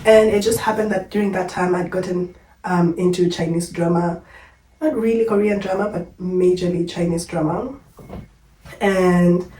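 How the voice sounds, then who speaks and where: A woman's voice: on the low side, mellow, echoey room, far from the mic, a little hiss or hum, poor audio. A young Kenyan woman vlogger, unwell and a little short of breath, telling her story in a candid, chatty way. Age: young adult. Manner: chatty, candid, uses fillers like "like" and "yo". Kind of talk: casual personal storytelling to camera.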